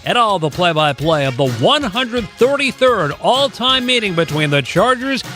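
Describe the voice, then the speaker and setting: High, animated male broadcast announcer. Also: moderate pace, faint music behind. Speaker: network announcer. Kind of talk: scripted broadcast promo read.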